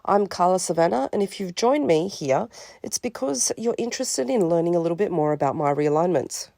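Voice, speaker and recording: A woman with a slight cold, calmly presenting her spiritual counselling service in a measured, scripted talk. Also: fairly low pitch, creaky, no room sound. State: a little sniffly from a cold.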